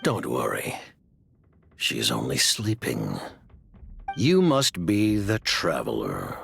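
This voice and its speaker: A man voicing a composed, courteous game character in a measured, reassuring and formal manner. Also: very deep voice, wildly swooping pitch, vocal fry, a bit bright, faint music behind, exaggerated voice. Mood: calm, reassuring. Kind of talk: scripted video game voice acting.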